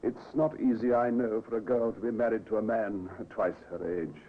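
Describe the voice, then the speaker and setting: A man's voice: lowish voice, steady tone, normal speed, lightly acted. An actor playing a fretful, proud, sickly husband in his fifties in 1950s radio drama, formal and hesitant. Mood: subdued. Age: 50s. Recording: tinny, hissy old radio recording.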